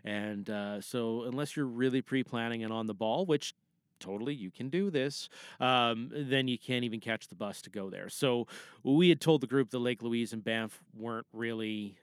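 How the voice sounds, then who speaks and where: A man's voice: mid-range voice, smooth, no room sound, studio quality. A man hosting a podcast, talking in a chatty, rambling audio diary style. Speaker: podcast host.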